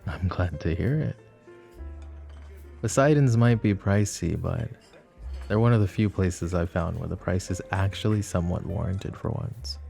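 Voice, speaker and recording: Roleplay audio: a man as a smug mafia boss, low gravelly purr, warm tone, faint music under. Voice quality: gravelly, teasing, soft-spoken. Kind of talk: ASMR roleplay acting.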